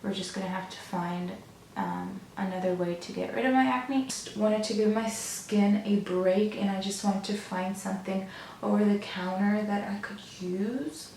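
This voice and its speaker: Young woman vlogger, hoarse and raspy, rambling casual chat; echoey home room, faint hiss behind. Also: medium pitch, steady tone, normal speed, a little mellow, recorded from a distance. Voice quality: slightly raspy. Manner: rambling, many ums and restarts. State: hoarse from a sore throat. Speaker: beauty vlogger. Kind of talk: casual get-ready-with-me chat.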